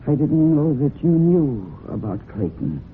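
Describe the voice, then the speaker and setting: A woman's voice: sits low, big pitch swings, unhurried. An actress playing a strong-willed, loyal and defensive woman in a vintage radio drama, speaking with earnest, emotional insistence. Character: strong-willed adult daughter, loyal and defensive about her horse. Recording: muffled, noisy old radio broadcast recording.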